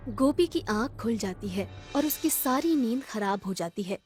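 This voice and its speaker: High-voiced woman narrating a children's story. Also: lively intonation, moderate pace, darkish tone, soft background music.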